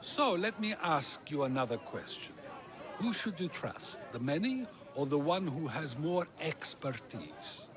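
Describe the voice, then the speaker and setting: An actor playing a wise, wry ancient philosopher in a video game, with a measured, questioning, rhetorical delivery. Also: male voice, on the high side, very animated, crowd noise. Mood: probing, rhetorical. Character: Socrates, wise wry ancient Greek philosopher, persuasive orator. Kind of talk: theatrical video game dialogue. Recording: music behind the voice.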